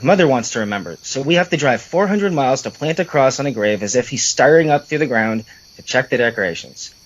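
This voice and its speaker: Casting: male actor as sarcastic, complaining brother for horror audio drama, very lively pitch swings. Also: a little fast, lo-fi sound, slight background noise, natural voice. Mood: grumbling. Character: teasing, grumbling adult brother, sarcastic and irreverent.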